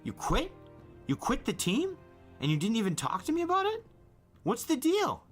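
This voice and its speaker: A man's voice: extremely high pitch, huge pitch swings, normal speed, soft background music, pitched above the performer's own voice, exaggerated voice. A man voicing an earnest, easily hurt teenage younger brother in acted storybook dialogue. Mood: incredulous. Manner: incredulous, earnest.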